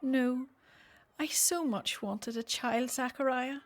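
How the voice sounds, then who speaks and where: High-voiced, wistful older wife. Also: female voice, slow. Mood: sorrowful. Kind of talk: radio play acting.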